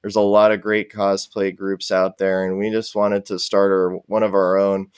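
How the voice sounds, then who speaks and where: Low, smooth-voiced man chatting casually. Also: level tone, normal speed, dry recording, studio quality. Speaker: podcast guest, cosplay group organizer. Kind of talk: casual interview chat.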